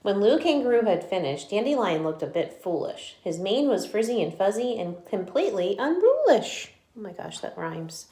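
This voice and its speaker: A woman reading a picture book aloud to children like a teacher, with animated storytelling and chatty asides. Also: medium pitch, moderate pace, hardly any pauses. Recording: echoey room.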